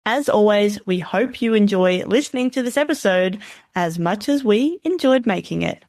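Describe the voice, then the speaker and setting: A woman's voice: mid-range voice, lively intonation, normal speed, a little mellow, no room sound, studio quality. A woman hosting a podcast, introducing a guest and asking questions in a friendly, upbeat way. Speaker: podcast host.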